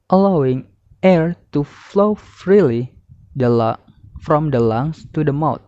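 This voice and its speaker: A young man reading a scripted lesson aloud as a student presenter, in a careful, halting way. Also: mid-range voice, warm tone, no room sound. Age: young adult. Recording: muffled, dull lo-fi audio.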